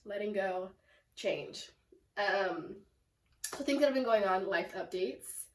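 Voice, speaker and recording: Congested raspy young woman vlogging. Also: mid-range voice, lively intonation, takes it slowly. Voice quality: raspy and nasal. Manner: chatty and self-deprecating, lots of "like" and "um". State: sick, congested. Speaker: plant vlogger. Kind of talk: casual rambling vlog chat. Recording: echoey room, mic at a distance.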